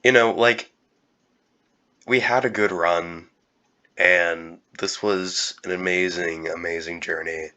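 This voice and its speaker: A young man with a cold, talking in a halting, casual way with many fillers and restarts. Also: low-pitched, steady tone, pushed and bright, dull audio. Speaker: YouTuber. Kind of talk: casual year-end vlog.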